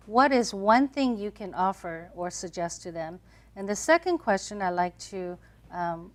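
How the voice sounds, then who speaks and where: A woman hosting a panel talk show, affirming her guests and guiding the talk. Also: mid-range voice. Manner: affirming, steady listening murmurs.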